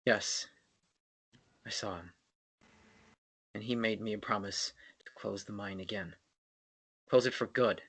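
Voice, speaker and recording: A man playing an earnest, stubborn young man in a dramatic screenplay reading, intense and halting as he pleads. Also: fairly low pitch, fairly bright tone, breathy edge, full character voice. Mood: pained, pleading. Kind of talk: dramatic table read of a romance screenplay.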